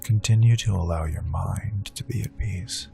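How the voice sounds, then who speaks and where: A man leading a guided hypnosis meditation, speaking slow soothing affirmations that drift into soft whispers. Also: low-pitched, gravelly, faint music behind.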